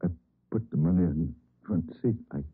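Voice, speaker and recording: An actor playing a distraught, broken father in an old radio crime drama, speaking haltingly and repeating himself as if near collapse. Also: deep voice, slightly rough, no echo. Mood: dazed grief. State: grief-stricken, near collapse. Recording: muffled, tinny old radio recording.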